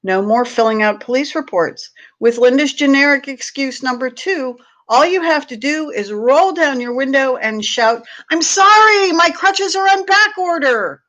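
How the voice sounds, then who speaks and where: A woman's voice: high-pitched, expressive, unhurried, hardly any pauses, a bit bright, rough recording. A woman reading a humorous piece aloud as a library host, with playful, theatrical emphasis. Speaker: library staff reader hosting a read-aloud. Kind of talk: expressive read-aloud of a humorous short piece.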